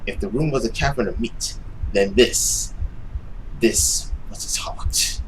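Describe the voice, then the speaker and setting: Young male gaming narrator, dramatic suspenseful read, hushed at times, faintly noisy home recording. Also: lowish voice, slow pace. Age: young adult. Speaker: gaming YouTuber reading a visual novel aloud.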